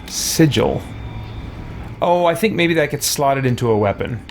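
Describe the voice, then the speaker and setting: A man's voice: mid-range voice, animated, a little slow, slight background noise. A man chatting through a live game stream, reading aloud and reacting as he plays. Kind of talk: live roleplay gaming commentary with chat shout-outs.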